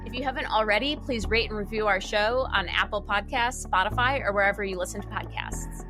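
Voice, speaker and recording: A woman's voice: medium pitch, fairly quick, music in the background. A woman co-hosting a podcast, talking informally as if thinking aloud. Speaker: podcast co-host. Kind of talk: casual podcast chat.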